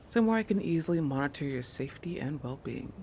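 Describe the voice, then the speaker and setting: A woman playing a playful, possessive, territorial shark girl in an intimate roleplay audio, teasing and self-assured, with breathy asides that drop into whispers. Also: low-pitched, lively intonation, fast talker, mellow, slight background noise.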